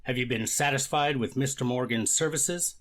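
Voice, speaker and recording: A man plays a methodical male judge in a readers theater hearing. He has a mid-pitched voice and speaks formally, setting out procedure in measured phrases. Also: fairly bright tone, lightly acted. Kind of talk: readers theater courtroom reenactment.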